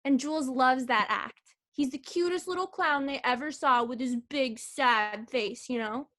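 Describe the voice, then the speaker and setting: Woman acting brash high-voiced female circus juggler. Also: a little slow, a bit bright. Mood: needling. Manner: teasing, mocking, slangy. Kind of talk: staged play reading. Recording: video call.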